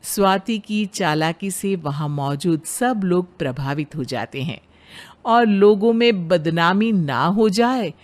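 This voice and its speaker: A woman's voice: lowish voice, lively intonation, slow. A woman narrator reading a scripted story in the third person.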